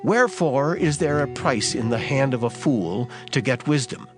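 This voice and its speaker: A man reads scripture as dramatized narration, solemn and measured. His pitch moves expressively through each emphatic phrase, with music playing clearly underneath. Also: animated. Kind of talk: dramatized King James Bible reading. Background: background music.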